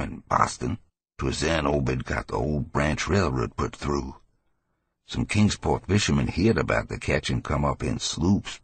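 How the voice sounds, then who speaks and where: A man voicing a hysterical, frightened old New Englander with a rasping voice and rambling rural dialect, in an audiobook horror story. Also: rumbling low, a bit bright, no echo, heavily acted. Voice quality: gravelly, husky old man's rasp. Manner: rambling folksy dialect, cackling and fearful. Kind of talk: dramatized audiobook character voice.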